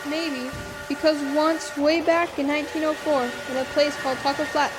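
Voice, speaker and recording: Radio play: woman as orphan girl telling her story, high wistful voice, some background noise. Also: steady tone, normal speed, lightly acted. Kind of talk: radio drama narration. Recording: noisy live stage recording.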